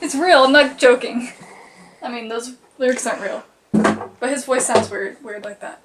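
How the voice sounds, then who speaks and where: A woman's voice: on the high side, moderate pace, noisy background. A young woman chatting casually and informally in a home vlog, with a giggly manner. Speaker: vlogger and musician.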